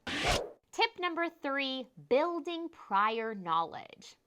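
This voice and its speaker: A woman teacher hosting a scripted instructional segment, enunciating clearly and stressing key phrases. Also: sits high, very animated, extremely slow, pushed and bright. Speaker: teacher and host of classroom tips show.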